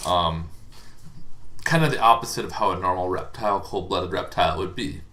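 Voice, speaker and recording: A man's voice: low-pitched, moderate pace, pushed and bright. A man hosting a casual, informal explainer on tabletop game monsters, talking in a rambling, hesitant way as if thinking aloud.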